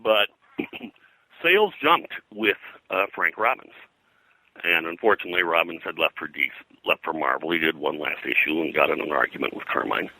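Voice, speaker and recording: An older man reminiscing as an interview guest in a halting, digressive way, often clearing his throat. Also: sits low, expressive, bright, forceful tone, no echo, rough recording. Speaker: interview guest, comics historian.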